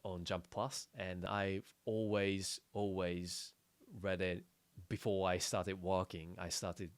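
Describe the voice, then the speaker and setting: Casting: male podcast co-host, thirties, lowish voice, halting and repetitive phrasing, close dry sound. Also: little pitch movement, no room sound. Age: 30s. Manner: halting, repeats words. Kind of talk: casual podcast chat.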